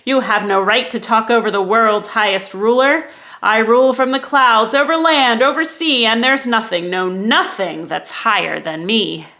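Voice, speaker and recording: A woman voicing a pompous, bossy male turtle king who shouts commands and brags. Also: fairly high pitch, moderate pace. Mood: indignant.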